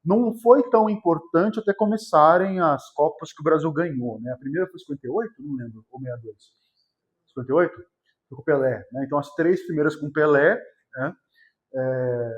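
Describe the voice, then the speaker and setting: A cram-course classroom where a Brazilian man from São Paulo state, voice a little on the high side, explains things casually and keeps checking that students follow. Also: fast, dull audio. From São José dos Campos, Vale do Paraíba, Brazil. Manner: colloquial, often checking in with short tag questions. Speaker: history teacher. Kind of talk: informal exam-prep lecture.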